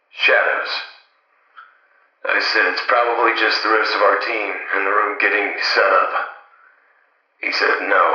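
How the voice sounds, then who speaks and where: A man's voice: fairly low pitch, bright, forceful tone. A man playing an uneasy researcher giving an audio log through a radio filter. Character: uneasy male researcher recounting an odd conversation. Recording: echoey, muffled radio log.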